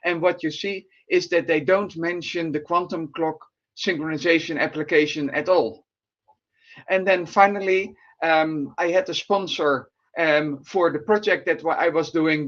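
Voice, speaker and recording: A man's voice: fairly high pitch, steady tone, moderate pace, a bit bright, muffled recording. A man with a Dutch accent giving a remote conference presentation, speaking hesitantly with many fillers. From Netherlands. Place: remote at home at night.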